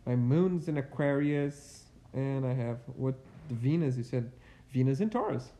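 Low, mellow-voiced woman in her twenties. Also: expressive, unhurried. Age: 20s. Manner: laughs often, loose and swearing. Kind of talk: casual chat between friends.

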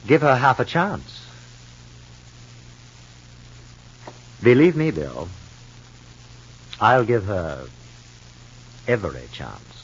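An actor playing a guarded, bitter husband in an old radio soap opera, speaking in a measured, formal way. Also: male voice, fairly low pitch, sing-song, slightly rough, exaggerated voice. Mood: bitter, skeptical. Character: guarded, bitter husband, coolly suspicious of his wife. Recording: muffled, lo-fi old radio recording.

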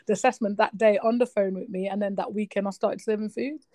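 A woman's voice: mid-range voice, fast, dry recording, clean studio sound. A middle-aged woman born in Trinidad and raised in the UK, with a British accent that still carries a Trinidadian lilt. She tells food stories as a podcast guest and acts out the voices of her family. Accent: British with Trinidadian traces. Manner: animated storyteller who acts out family voices. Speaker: podcast guest, nurse and cook. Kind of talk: casual interview chat.

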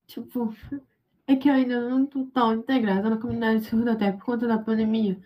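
A woman's voice: fairly high pitch, flat delivery, brisk, highs cut off. A young woman with a Brazilian Portuguese accent answering interview questions in a hesitant way, with many fillers. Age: young adult. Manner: hesitant, frequent fillers and restarts. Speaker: interviewee, university student.

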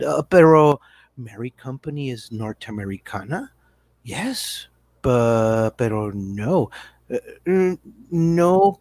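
A man's voice: mid-range voice, darkish tone, dry recording. A man playing a slick, evasive American businessman in a satirical radio drama, speaking Spanish with an American accent, hesitant and dropping in English words. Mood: flustered, evasive. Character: slick, evasive American businessman selling his multinational company.

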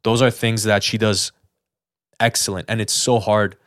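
A man with a fairly low voice talks as a podcast co-host. He is earnest and informal, circling back on phrases to stress his point. The sound is dry and close, with little room around it. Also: flat delivery, moderate pace, a bit bright, dry recording. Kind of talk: casual podcast chat.

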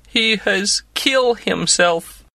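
A man reading an audiobook voices a drunk young Russian actress with a Russian accent, speaking slow broken English in a dramatic, moody way. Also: high voice, bright, forceful tone, no room sound, voice pushed up, heavily acted. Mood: mournful. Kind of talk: audiobook character voice.

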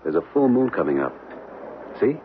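A wry husband, lively low voice. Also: male voice, normal speed. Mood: relaxed, wry. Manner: casual, wry, affectionate. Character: easygoing, wry American husband on vacation, keen photographer. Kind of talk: radio drama acting. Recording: tinny, noisy old radio recording.

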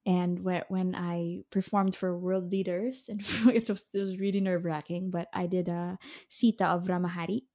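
Young filipina soprano chatting in an interview, mellow voice, lots of ums and restarts. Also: female voice, on the low side, level tone, moderate pace, hardly any pauses. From Philippines. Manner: hesitant, many fillers and restarts, occasional laughs. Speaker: opera singer, soprano guest. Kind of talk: casual interview chat.

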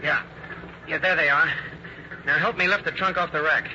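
An actor playing a nervous, hurried accomplice in an old-time radio crime drama. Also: male voice, fairly high pitch, fairly even intonation, lightly acted. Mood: tense, hurried. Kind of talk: 1930s-40s radio drama acting. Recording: muffled old radio recording, noisy background.

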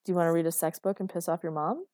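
A wry woman lodger, as a woman narrator plays her, flippant and teasing. She sounds relaxed and amused, in a dry storybook recording. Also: lowish voice, very fast, no echo, lightly acted. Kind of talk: audiobook character dialogue.

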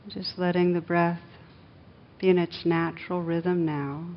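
A woman meditation teacher speaks in a lowish, fairly even voice. She guides gently and soothingly, leaving long pauses between short phrases. The recording is a little dull, with a faint rumble of noise behind her.

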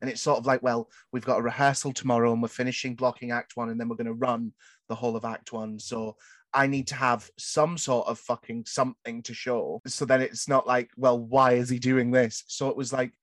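A man's voice: medium pitch, little pitch movement, fairly bright tone, no echo. A young man in his twenties hosting a podcast, chatting candidly with many stops, restarts and swear words. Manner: swears a lot, halting restarts. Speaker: podcast host. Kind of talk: candid casual chat.

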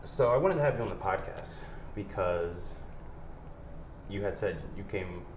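A man in his thirties with a very deep voice hosts a casual interview podcast. He asks questions in an earnest, curious way. His voice sits in a roomy, echoing space with faint hiss. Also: lively intonation, unhurried. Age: 30s. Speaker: podcast host. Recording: roomy echo.

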